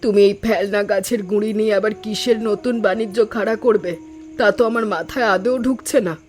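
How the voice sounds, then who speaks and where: A woman voicing a worried village wife in an animated story, fretful and skeptical. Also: mid-range voice, brisk, soft background music, lightly acted. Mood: worried, doubtful. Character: worried, doubtful village wife of an old farmer. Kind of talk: Bengali animated story dialogue.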